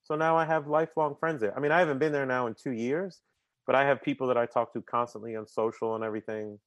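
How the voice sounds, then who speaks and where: Chatty guy on a podcast, mid-pitched voice, dry close mic, keeps restarting words and throwing in slang. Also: fast talker, no echo. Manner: energetic, talkative, repeats words when starting sentences; uses casual slang like "man" and "bruh". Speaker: podcast guest. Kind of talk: casual interview conversation.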